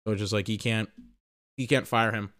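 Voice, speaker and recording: Smooth-voiced man ranting about football. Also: fairly low pitch, fairly even intonation, fairly quick, fairly bright tone. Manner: rambling, emphatic, restarts phrases, swears. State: a little tired. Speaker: sports podcast host. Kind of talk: casual ranting commentary.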